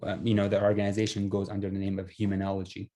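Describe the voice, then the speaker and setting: A young man from Syria speaks English. His voice is fairly low and very mellow. He tells his own story conversationally, with a modest, self-effacing manner. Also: completely flat delivery, fast. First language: Arabic. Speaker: refugee telling his life story. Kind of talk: casual personal storytelling.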